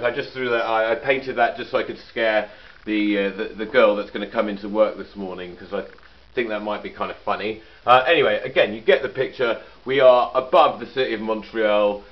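Muralist, male, low voice, loose and jokey, muffled camcorder sound. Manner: rambling, joking, trails off into asides. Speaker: muralist giving a tour. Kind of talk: casual narrated walkthrough. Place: indoor studio room with large wall mural. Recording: dull lo-fi camcorder audio.

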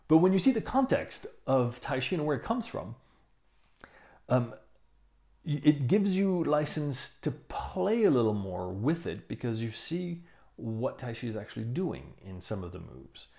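A man in his fifties giving a casual explanation to camera, thoughtful and halting, stressing key words. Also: medium pitch, gravelly, a little mellow. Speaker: tai chi teacher reviewing a book. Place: small room indoors. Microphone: lapel mic.

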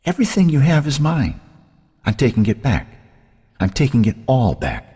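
A venomous ghost of a woman, acted out by a male narrator, taunting and relentless. The voice is lifted high and swings in pitch, with a slightly husky edge, in audiobook style. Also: very animated, normal speed, warm tone, in a large hall. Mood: menacing. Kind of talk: audiobook character voice.

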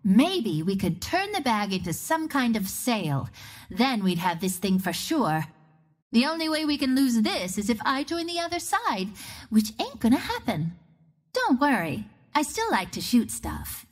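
A woman's voice: a little high, sing-song, measured pace. A woman voicing a cocky, playful young archer of the wind in a video game, with a confident, teasing manner. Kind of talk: video game character voice lines.

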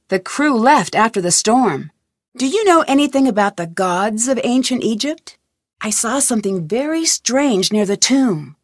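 A young female sleuth in an adventure game, persistent and curious. Her voice sits a little high and moves with very lively intonation. She speaks earnestly and questioningly, recorded dry with no room around her. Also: no room sound. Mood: concerned. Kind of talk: scripted adventure game voice lines.

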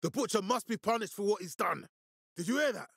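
A gravelly, commanding militia leader. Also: male voice, sits high, expressive, brisk, a bit bright, no room sound, studio quality, exaggerated voice. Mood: urgent, insistent. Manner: authoritative, urgent, giving blunt orders. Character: commanding, hard-swearing male militia leader in a zombie apocalypse. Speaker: voice actor. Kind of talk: video game dramatic acting.

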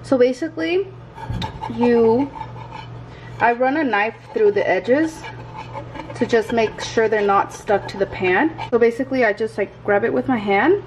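A young woman with a higher-pitched voice talks viewers through kitchen steps in a loose, chatty manner. She is informal and unscripted, like someone vlogging at home. The audio has clear background noise and clicks from the kitchen. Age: young adult. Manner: informal, chatty, occasionally crude. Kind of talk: casual instructional chat to viewers. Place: small home kitchen, indoors.

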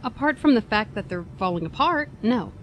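A woman's voice: a little high, expressive, a little fast, crowd noise, lightly acted. A woman playing a wry, practical adventuring companion in an audio drama, dry and questioning.

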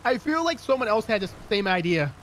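A man with a high, very animated voice trades playful, mock-serious banter in a multiplayer game. Faint vehicle noise sits behind him. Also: a little fast. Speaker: gamer in group roleplay. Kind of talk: casual joking gameplay banter. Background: game vehicle sounds.

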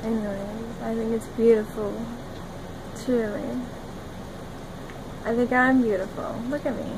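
High-voiced, slow, giggly young woman. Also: little pitch movement, noisy background. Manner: dreamy, giggly, drifting between loud bursts and soft murmurs. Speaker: vlogger. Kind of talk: rambling monologue to camera. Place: small room indoors. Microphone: phone camera at arm's length.

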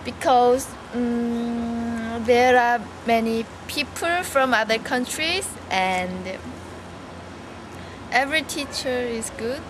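A woman's voice: a little high, takes it slowly, noisy background. A young woman from South Korea with a Korean accent, answering interview questions in simple English and giggling often, recorded outdoors on a handheld camera. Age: young adult. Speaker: language school student. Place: outdoors by a building. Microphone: handheld camera up close.

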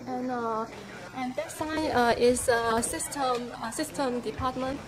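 A young woman guiding visitors through an office and factory, speaking haltingly with many fillers. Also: sits high, fairly even intonation, a crowd in the background. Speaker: company guide. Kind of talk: factory tour walkthrough. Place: office and factory floor, indoors. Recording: noisy factory and office background.

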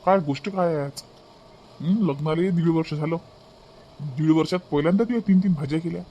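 A man's voice: a little high, fast, warm tone, a little hiss or hum, close to an everyday voice. A man voicing a teasing, grumbling young husband in a cartoon family comedy, speaking colloquially with a Vidarbha Marathi accent. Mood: suspicious teasing.

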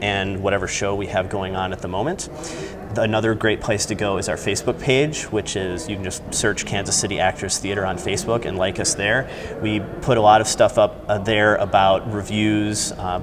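An American man in his thirties giving an explanatory, halting answer in an informal on-camera interview. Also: lowish voice. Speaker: theater company staff member interviewed as guest. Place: large indoor lobby. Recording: clear background noise, busy lobby ambience.

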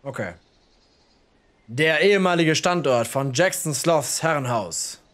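A man's voice: fairly high pitch, expressive, measured pace, a bit bright. A man voicing an uneasy, curious everyman in an audio horror story, chatty and hesitant. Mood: questioning. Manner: hesitant, questioning.

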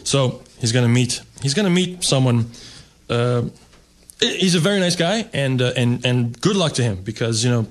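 A man's voice: medium pitch, animated, moderate pace. Middle-aged man from the US, seated stand-up storyteller; wry, rambling delivery. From United States. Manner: wry, rambling with fillers, mock-snobbish asides. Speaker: stand-up comedian. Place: seated at a table, indoor stage.

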